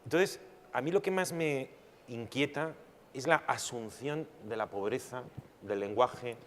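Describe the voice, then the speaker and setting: A man's voice: medium pitch, measured pace. A man making a reflective argument on a public panel, using rhetorical questions. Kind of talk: panel discussion. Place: large hall at a public panel. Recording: hall reverb with faint noise.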